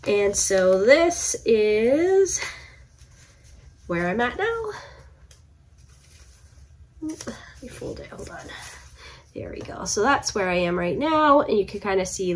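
A woman's voice: medium pitch, slightly rough. A young woman with a congested, stuffy voice, chatting casually about her cross stitch projects from a home room, with lots of fillers and restarts. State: congested from a cold. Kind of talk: casual chat to camera.

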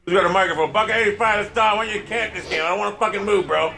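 A man's voice: high-pitched, very fast, vocal fry, pushed and bright. A man playing a video game, griping and ranting in profane, repetitive chatter on a noisy recording.

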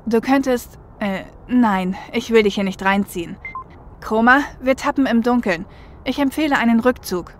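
A woman's voice: a little high, normal speed, a little hiss or hum, natural voice. Woman acting a seasoned female getaway driver. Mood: concerned. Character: seasoned female shadowrunner getaway driver, protective older sister. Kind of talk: audio drama acting.

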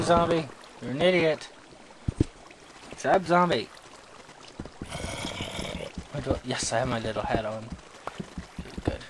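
Goofy self-mocking young gamer man. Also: medium pitch. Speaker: gamer playing commentary. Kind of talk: let's play commentary. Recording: noisy home recording.

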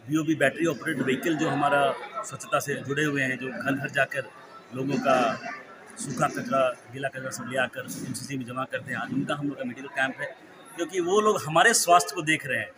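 A man's voice: mid-range voice, steady tone, a little fast, very noisy. A man in his forties gives an interview into a handheld mic at an indoor town hall event, talking earnestly and at length, with English phrases mixed in. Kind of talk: news interview. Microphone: handheld reporter mic. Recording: clear hall ambience behind.

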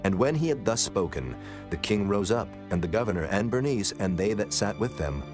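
A man's voice: on the low side, animated, brisk. A man narrating scripture from a script in a formal, solemn manner. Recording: prominent music bed under voice.

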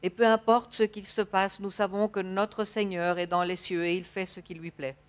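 Woman interpreting a sermon, slightly halting. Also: medium pitch, little pitch movement, smooth. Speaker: church interpreter. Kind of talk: live consecutive interpretation of a sermon. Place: church stage, indoors.

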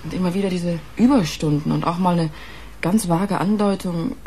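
An actress as a guarded young woman in mourning, in a radio crime play. Her voice is dark and soft-edged, hesitant, with faint noise behind it. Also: lowish voice, moderate pace, natural voice. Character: guarded, grieving sister of a dead policeman. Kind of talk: naturalistic radio play acting.

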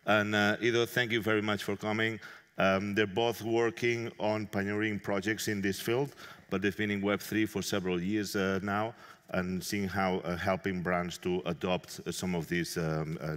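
Deep-voiced man moderating a hall panel. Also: flat delivery, a bit bright. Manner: halting, many filler sounds. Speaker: moderator and event organizer. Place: large conference hall stage. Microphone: headset mic. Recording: long reverb of a large hall.

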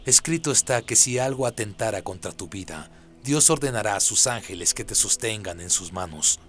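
A man's voice: fairly low pitch, expressive, normal speed, fairly bright tone. A man voicing a proud, sly fallen angel in a radio play, taunting and persuasive. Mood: tempting.

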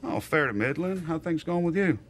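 An actor playing a young laconic male secret agent with a dry, deadpan drawl. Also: a little high, a little fast, close to an everyday voice. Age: young adult.

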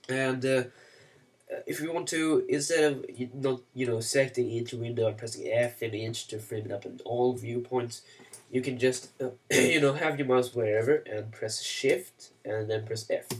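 A man whose first language is Swedish talks through a screen-recorded tutorial in a gravelly, fry-laden voice. He rambles, cracks dry jokes at his own expense and leans on "uh" and "you know". The mic sits some distance away, so he sounds a little removed. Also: mid-range voice. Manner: rambling, self-mocking asides, many "uh" and "you know". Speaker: software tutorial maker.

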